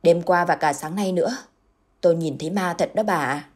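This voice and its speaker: A woman voicing a gruff, worried village husband who snaps and then speaks gravely. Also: a little fast. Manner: curt, barking, then grave and low.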